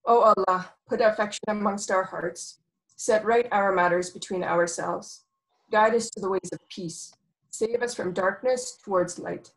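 A woman on a video call giving a slow, solemn closing prayer and its translation, reading from a page. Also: medium pitch, vocal fry, poor audio. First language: not Arabic. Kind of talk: recited prayer with English translation. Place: home office with framed art.

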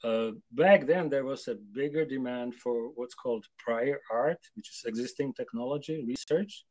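An older man from Czechoslovakia answering interview questions on a video call, hesitant and full of pauses. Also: mid-range voice, darkish tone. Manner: hesitant, with many pauses and fillers. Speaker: guest translator. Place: home room with photos on wall.

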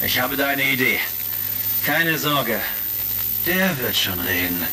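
An actor playing a confident, heroic space commander in a retro radio play, giving assured orders. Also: male voice, medium pitch, big pitch swings, takes it slowly. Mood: calm, sly. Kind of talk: retro science fiction radio play acting. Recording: music behind, vintage crackle.

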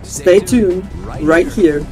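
A man's voice: high-pitched, drawn-out words, darkish tone. Young man doing a playful radio-DJ patter. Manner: upbeat announcer delivery, playful. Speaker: streamer. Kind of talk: mock radio DJ announcing. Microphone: headset. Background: faint game music.

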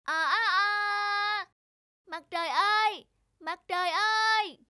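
A woman voicing an earnest, plucky young male rooster in an animated fairy tale, with exclamatory crowing calls. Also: very high voice, slow, no room sound, clean studio sound, heavily acted. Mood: calling out. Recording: loud music behind the voice.

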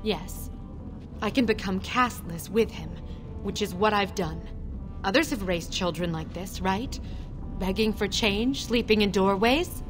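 A woman voices a young dwarf mother cast out with her son. She speaks earnestly and confidingly, at a plain middle pitch. Soft game music runs behind her. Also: measured pace, natural voice.

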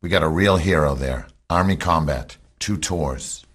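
A man acting a very deep, husky military captain. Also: measured pace, fairly bright tone, exaggerated voice. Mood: firm, commanding. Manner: terse, commanding radio orders. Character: stern male military captain commanding a covert team. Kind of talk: radio drama acting.

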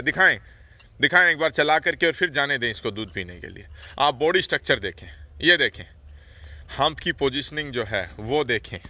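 Male host, bright forceful tone, steady explanatory delivery, outdoor farm walk-through. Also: medium pitch, dry recording. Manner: steady explanatory, frequent "haan haan" backchannels. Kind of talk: on-site explanatory commentary.

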